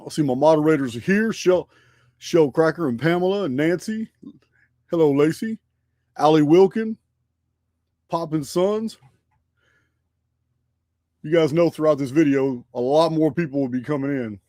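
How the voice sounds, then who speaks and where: A man's voice: a little high, moderate pace, pauses often, dark tone, no echo, studio quality. A man hosting a live online show, chatting casually and often restarting his sentences. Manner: rambling, repeats and restarts phrases. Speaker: podcast host. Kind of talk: casual live-stream chat. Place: home studio.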